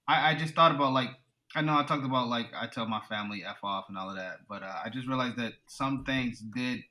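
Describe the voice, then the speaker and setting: A man's voice: mid-range voice, sharp tone, low-quality recording. A man in his thirties from the United States, a podcast guest who talks things through thoughtfully and often says "you know". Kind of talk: casual conversation.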